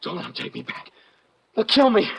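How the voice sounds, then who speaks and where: An actor playing an exhausted, wounded young fugitive, weak, frightened and halting, in 1950s American radio style. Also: male voice, fairly bright tone, close to an everyday voice. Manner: halting, pleading. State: weary and weak.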